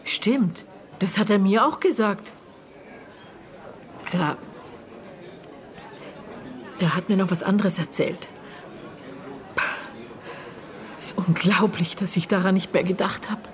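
An actress playing a middle-aged, bitter and guarded aunt in a naturalistic radio drama, speaking in terse, halting phrases as she searches her memory. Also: mid-range voice, some chatter behind, natural voice. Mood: searching memory. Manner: terse, halting, broken phrases with thoughtful pauses.

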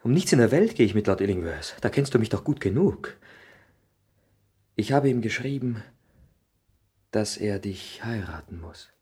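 A very husky, impassioned young son. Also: male voice, on the low side, very animated, moderate pace, pauses often, warm tone, heavily acted. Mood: urgent resolve. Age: young adult. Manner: urgent, theatrical, dropping to breathy pleading. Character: earnest, headstrong young son, passionate and pleading. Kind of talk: 1960s German radio drama acting.

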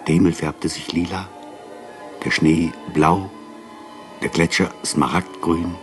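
A man delivers a lyrical literary reading in the first person. His voice is on the low side, with soft music underneath. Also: fairly even intonation, takes it slowly. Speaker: reader of literary prose.

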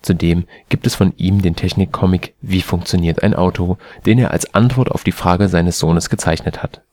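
A man with a standard German accent, reading an encyclopedia article aloud in a steady, careful way. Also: low voice, moderate pace. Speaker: volunteer reader of a spoken encyclopedia article. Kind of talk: scripted read-aloud narration.

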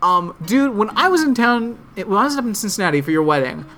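A bright-voiced man ranting animatedly. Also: high-pitched, brisk, faint music behind. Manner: animated storytelling, frequent swearing and ums; trained projecting voice. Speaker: podcast co-host. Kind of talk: casual ranting chat. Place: Orlando area.

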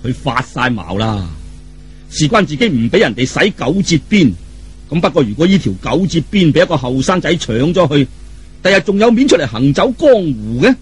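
A man's voice: on the high side, very animated, moderate pace, slightly rough. A man telling a story in colloquial Cantonese, theatrical and dramatic, with vivid exclamations.

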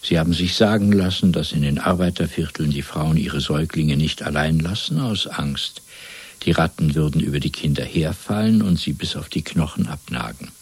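A cold, methodical torturer of a totalitarian state, in a seventies radio drama. His voice is low and very husky. He speaks with measured, clinical menace. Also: no echo, full character voice. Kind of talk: 1970s German radio play acting.

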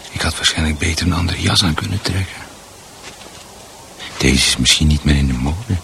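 Bewildered, creaky-voiced rambling husband. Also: male voice, a bass voice, fairly bright tone, slight background noise, heavily acted. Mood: muttering, puzzled. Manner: muttering, halting, repeats himself. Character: bewildered, rambling husband losing his grip, meekly polite. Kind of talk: radio drama acting.

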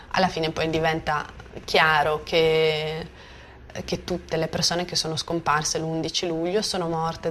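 A woman's voice: low voice, slight background noise. A woman giving spontaneous, matter-of-fact explanatory testimony, hesitating and rephrasing as she goes.

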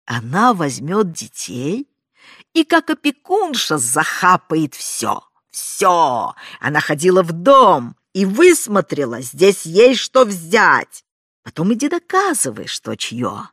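A woman voicing a loud, spiteful middle-aged aunt who shrieks mocking accusations in a storybook reading. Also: lowish voice, wildly swooping pitch, slow, breathy edge, dry recording, studio quality, exaggerated voice. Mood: gloating.

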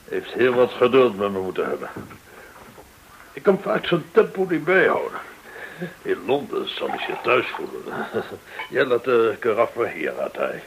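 A genial, shrewd dealer in gold who hosts with effusive, theatrical courtesy. His pitch swings widely as he speaks in measured radio drama style. A faint hiss lies over the old recording. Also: rough recording.

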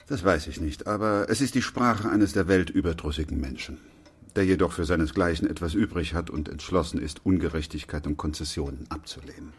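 An actor playing a weary, principled doctor who narrates a radio drama in a measured, restrained way. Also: male voice, deep voice, slightly rough. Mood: firm, sober.

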